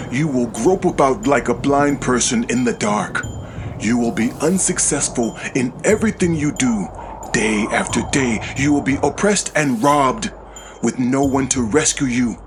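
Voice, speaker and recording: Solemn male Bible narrator over music. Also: medium pitch. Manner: solemn, declamatory. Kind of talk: dramatized scripture reading. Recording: music behind the voice. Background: background music.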